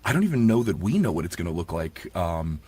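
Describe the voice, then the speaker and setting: A man speaks as an interview guest in a fairly low register. His voice has a husky grain to it, and his manner is effusive and enthusiastic, in an informal conversational style. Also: sing-song, quick pace, dark tone. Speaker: audiobook narrator and guest. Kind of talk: casual interview chat.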